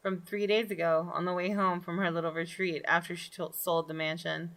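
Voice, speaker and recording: A woman reading a visual novel aloud as expressive, dramatic storytelling for a gaming video. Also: on the low side, fairly quick. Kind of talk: expressive scripted narration with brief asides. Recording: game music behind the voice. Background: soft game music.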